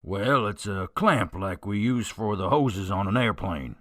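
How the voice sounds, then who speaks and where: A man acts out a guilty mechanic in a radio play, evasive and easily indignant. His low voice is sharp and swings widely, recorded close and dry. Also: animated, a little slow, no room sound. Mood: defensive, indignant. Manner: hesitant, evasive, indignant when accused. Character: guilty airport mechanic, defensive killer cornered into confessing. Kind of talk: amateur radio drama acting.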